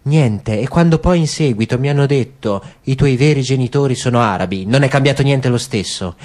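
A man's voice: mid-range voice, brisk, a little mellow, natural voice. A man voicing a tense young soldier in a radio drama reading, speaking stiffly, as if reciting a rehearsed speech. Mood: declaiming. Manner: stiff, declaiming.

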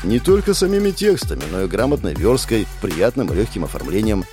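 Brief: a male audiobook narrator, low and expressive, with soft music in the background. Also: brisk. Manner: expressive, animated reading. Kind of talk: first-person audiobook narration.

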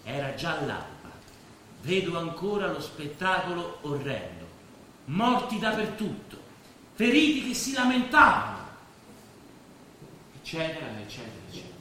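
A man's voice: on the high side, big pitch swings, a little slow, pauses often, sharp tone, exaggerated voice. An actor playing a plain-spoken, proud working-class man, telling stories in an Italian stage drama. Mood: grave recital. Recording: very echoey stage, faint background noise.

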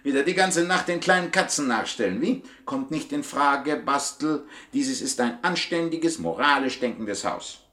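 Animated, exasperated wisecracking crook. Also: male voice, mid-range voice, normal speed, few pauses. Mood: scolding, exasperated. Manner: impatient, colourful scolding. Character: brash, exasperated, wisecracking gentleman crook, boss of his gang. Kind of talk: 1960s German radio comedy crime play. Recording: roomy, slightly noisy 1960s radio recording.

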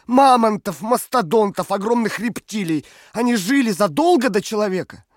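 An actor playing a desperate but idealistic male prisoner, earnest and halting, in an audio drama. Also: high voice, animated, dry recording, clean studio sound. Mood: pleading, argumentative. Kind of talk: dramatic audio play dialogue.

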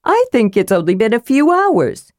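Small male kender for a fantasy audiobook, played by a woman: high, animated, curious and childishly earnest. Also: moderate pace, no room sound, clean studio sound. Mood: restless, bored.

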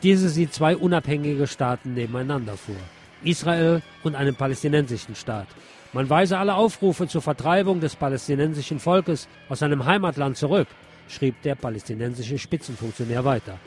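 A man's voice: on the high side, moderate pace, a little mellow, soft background music. A man hosting a radio programme, commenting on the news and reading press reports aloud. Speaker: radio host.

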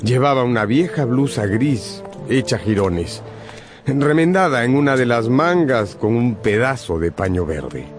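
A man's voice: medium pitch, big pitch swings, unhurried, music in the background. A man narrating a radio drama in a scripted, dramatic storytelling style.

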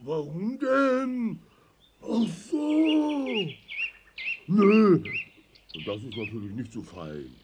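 An actor playing a childlike, naive and excitable little bear in a children's audio play. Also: medium pitch, extremely slow, long pauses, background noise, exaggerated voice. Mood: scheming. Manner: exclaiming, gushing.

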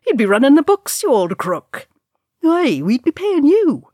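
A woman's voice: sits high, measured pace, no echo, clean studio sound, voice pushed up. Woman as a rowdy, teasing young dwarf drinker. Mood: jeering. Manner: jeering, lively banter.

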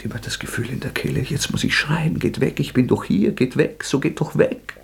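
A feverish young killer, gravelly and husky, bitter and scornful. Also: male voice, medium pitch, moderate pace, full character voice. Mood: anguished, feverish. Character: tormented young male murderer, feverish, bitter.

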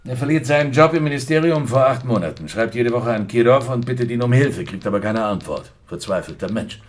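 An actor playing a matter-of-fact, dry Soviet secret police colleague in a radio crime drama. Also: male voice, medium pitch, lively intonation, brisk, natural voice. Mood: matter-of-fact, gossipy.

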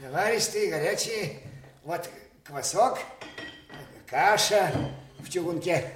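Актёр играет простого, словоохотливого и преданного слугу в советской радиопостановке. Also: male voice, high voice, expressive, slow pace, slight background noise. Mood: obliging, cheerful. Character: homely, folksy manservant, chatty and devoted. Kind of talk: 1980s Soviet radio drama acting. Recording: roomy radio drama studio echo.

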